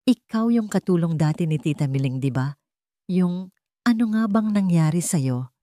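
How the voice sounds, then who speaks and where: A woman's voice: lowish voice, expressive, normal speed, warm tone, no echo, studio quality, lightly acted. A woman voicing a haughty, cruel rich woman who taunts servants in a condescending, commanding, mock-sweet way for an audio drama. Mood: mock discovery.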